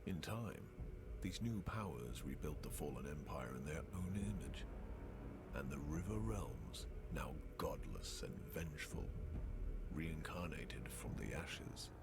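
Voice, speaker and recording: World-weary game narrator, extremely low voice, brooding, theatrical delivery, loud music underneath. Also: male voice, animated, measured pace, vocal fry, full character voice. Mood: somber, brooding. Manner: grave, theatrical, with hushed word endings. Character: world-weary, brooding male narrator of a ruined fantasy land. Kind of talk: scripted dramatic game intro narration.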